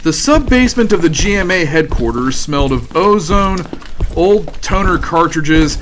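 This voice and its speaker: A man's voice: fairly high pitch, lively intonation, normal speed, fairly bright tone, a little hiss or hum. A male narrator reading dramatic, comic scripted narration for a sci-fi audio drama.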